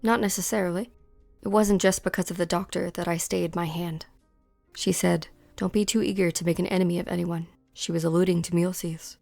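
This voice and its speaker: Creaky, guarded woman fighter, dry sound acted. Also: lowish voice, steady tone, a little fast, darkish tone, no room sound, soft background music. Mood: cool, guarded. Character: calm, guarded woman, fighter who tends wounds. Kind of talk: scripted fan-dub game drama acting.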